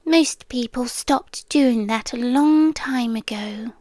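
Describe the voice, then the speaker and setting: A woman voicing a lonely, eerie trapped woman who is half a monster. She speaks slowly and softly, often in a breathy whisper, with coaxing intimacy. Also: a little high, no room sound, clean studio sound. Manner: slow, soft and intimate, coaxing. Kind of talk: second-person audio drama.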